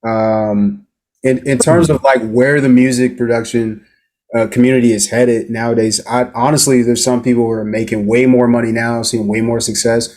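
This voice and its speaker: A man's voice: mid-range voice, fairly even intonation, moderate pace, darkish tone. A man hosting a casual interview, asking questions hesitantly and searching for words with many fillers. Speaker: interview host.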